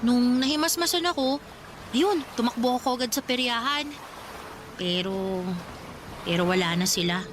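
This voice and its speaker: A woman's voice: fairly high pitch, normal speed, long pauses, slight background noise, close to an everyday voice. A woman playing a sentimental, teasing older girl cousin in a radio romance drama, confiding and dramatic. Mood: wistful, regretful.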